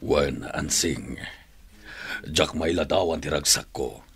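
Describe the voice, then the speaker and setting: A gentle, grateful prisoner in an Ilocano radio drama. His voice is extremely low and gravelly, his delivery measured and earnest. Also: exaggerated voice. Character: grateful, gentle jailed man being courted.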